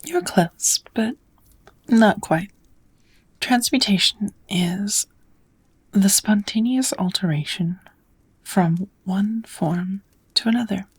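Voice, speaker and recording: A woman playing a slow, breathy, kind witch teacher. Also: mid-range voice. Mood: patient, encouraging. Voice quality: breathy, soft, often whispered. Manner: patient, encouraging, unhurried teaching. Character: kind witch, patient and gentle magic teacher. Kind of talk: ASMR roleplay, whispered lesson to one listener.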